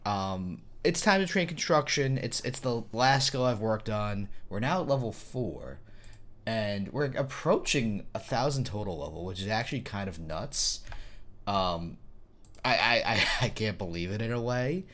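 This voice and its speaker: A man with a somewhat low voice, roughened and hoarse from being sick, talks over his gameplay in a loose, unscripted way. He pokes fun at himself and thinks out loud. Small victories make him perk up. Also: a little slow. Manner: self-mocking, easily excited, thinks aloud. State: hoarse from a cold. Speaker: gaming YouTuber. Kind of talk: casual gameplay commentary.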